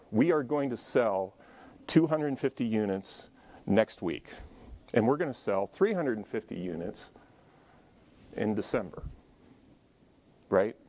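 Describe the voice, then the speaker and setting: A man with a deep voice giving a conversational lecture at a symposium, adding joking asides to the audience and moving around as he talks. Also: darkish tone. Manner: moves around while speaking. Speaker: conference speaker. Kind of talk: conference lecture.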